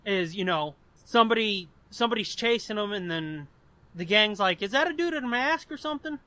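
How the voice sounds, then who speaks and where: High-pitched, bright-voiced man chatting bluntly. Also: brisk, no echo. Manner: profane, blunt, animated explaining. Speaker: podcast host. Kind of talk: casual chat.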